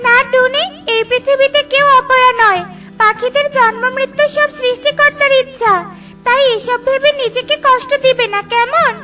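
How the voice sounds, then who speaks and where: A magical talking tree in a children's cartoon, kind and grateful. The voice is piercingly high and bright, speaking with gentle reassurance over music. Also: female voice, steady tone, moderate pace. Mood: comforting. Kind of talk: children's cartoon story acting.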